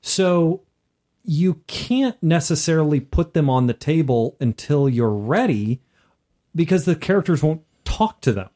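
A man's voice: a little high, sing-song, unhurried, dark tone. A man co-hosting a casual, conversational discussion about tabletop games. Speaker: podcast co-host and tabletop gamer.

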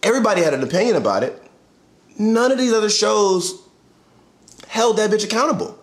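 A man from Florida, raised in Charlotte, telling stories in casual chat as a podcast co-host and getting heated and animated. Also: a little fast. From born in Florida, raised in Charlotte. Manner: animated, storytelling, pitch jumps up when heated.

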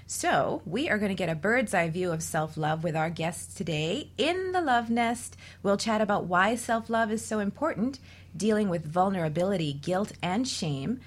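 A woman's voice: mid-range voice, expressive, moderate pace. A woman hosting a radio talk show on relationships, delivering semi-scripted introductions and chat as a host and coach.

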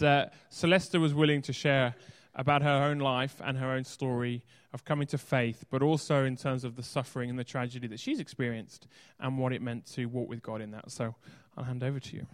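Man introducing a guest speaker in church. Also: mid-range voice.

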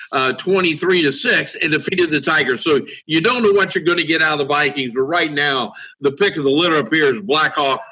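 A man gives his take on high school basketball, halting often on "uh." His voice is bright and forceful, a little higher than usual for a man, heard over dull lo-fi audio. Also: slightly rough. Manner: halting, heavy use of "uh" fillers. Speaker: guest basketball commentator. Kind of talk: casual sports talk.

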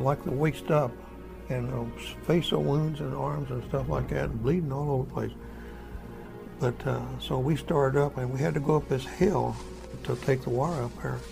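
An elderly American war veteran recounting his memories in a folksy, halting, repetitive way. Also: medium pitch, vocal fry, low-quality recording. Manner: folksy, halting, repeats himself. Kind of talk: spoken first-person war reminiscence.

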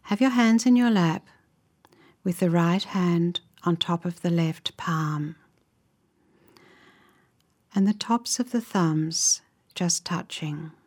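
Female meditation guide, lowish voice, very unhurried and calm, softening into breath at phrase ends. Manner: calm, measured, instructive.

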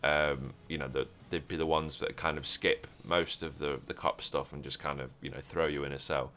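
A man's voice: very deep voice, fairly even intonation, moderate pace, bright, forceful tone, a little hiss or hum. A man hosting a roleplay podcast, chatting casually and rambling with many fillers and restarts. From Europe. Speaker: podcast host.

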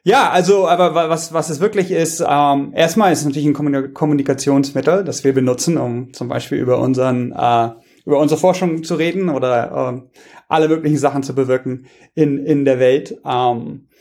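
A man's voice: fairly high pitch, brisk, darkish tone. Hesitant man searching for words. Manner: hesitant, many fillers and restarts, searching for words. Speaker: guest researcher. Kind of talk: casual interview talk.

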